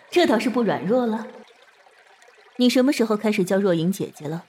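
A woman playing an indulgent but authoritative middle-aged mother in a Chinese costume audio drama, measured and gently chiding. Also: mid-range voice, sing-song, breathy edge. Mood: amused, relenting.

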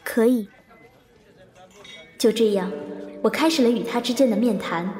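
An actress playing a composed, probing woman investigator in an audio drama, measured and introspective as she recalls a fateful case. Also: on the high side, darkish tone, lightly acted. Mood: reflective. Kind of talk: audio drama narration and dialogue. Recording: echoey long reverb, music behind.

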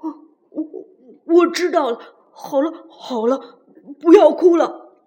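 A woman voicing a big, gruff male T-rex who acts tough but cares for a little one, in a storybook reading. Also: sits high, takes it slowly, highs cut off. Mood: relenting. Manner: gruff, blustering, softening. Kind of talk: storybook read-aloud.

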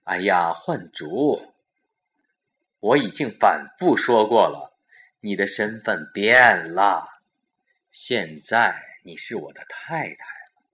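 A man's voice: lowish voice, lively intonation, takes it slowly, sharp tone, heavily acted. A man playing a domineering, impatient older company-boss husband, overbearing and exasperated, in a Chinese audio drama.

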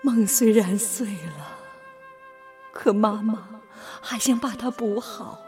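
A woman's voice: mid-range voice, takes it slowly, a little mellow, soft background music, close to an everyday voice. An actress playing a devoted, long-suffering middle-aged mother in a radio drama, with a tender, emotive, pleading delivery. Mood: sorrowful resolve.

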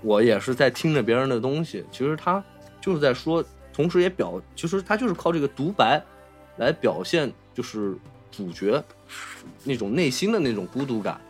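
A man's voice: mid-range voice, lively intonation, normal speed, soft background music. A man with a Mainland Chinese Mandarin accent hosting a casual film discussion, explaining and rephrasing his points as he goes. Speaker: podcast host.

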